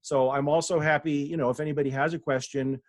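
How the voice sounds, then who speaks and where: Higher-voiced man speaking humbly, dry sound. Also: little pitch movement, fast, no echo. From Denver, USA. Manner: self-deprecating, frequent fillers and restarts. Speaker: guest speaker, author and professor. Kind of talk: humble, rambling talk on a video call.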